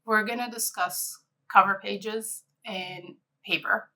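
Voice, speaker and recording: A woman's voice: mid-range voice, steady tone, slow, sharp tone. A middle-aged woman from Egypt, an interior designer presenting a conference session in a conversational way with frequent fillers.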